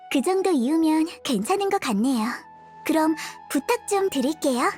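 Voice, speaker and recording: An actress playing a sweet, polite, determined young dancer in a video game, gentle and courteous. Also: female voice, high-pitched, animated, a little slow, soft background music. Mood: grateful. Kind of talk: video game dialogue acting.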